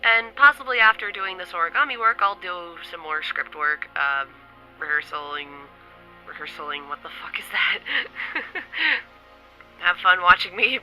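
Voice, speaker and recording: Upbeat woman vlogger, chatty, nasal from a cold, very bright tone. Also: lowish voice, expressive, unhurried, soft background music, poor audio. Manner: chatty, upbeat, swears casually. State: stuffy from a cold, occasional coughing. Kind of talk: casual chat to camera. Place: home in New York City.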